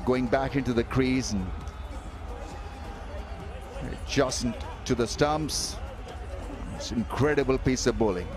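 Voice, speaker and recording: A male cricket commentator calls the match live, reading scores and bowling figures. He jumps up in pitch and energy when a wicket falls. Clear music plays behind his voice in the broadcast mix. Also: slow pace, long pauses, a crowd in the background. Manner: excitable, rises sharply on wickets.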